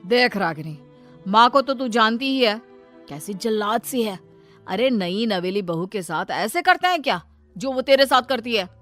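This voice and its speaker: A woman's voice: on the high side, animated, moderate pace, fairly bright tone, faint music behind. A woman voicing a scheming, nagging young husband and son in a storybook tale, sly and needling. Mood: sly sympathy.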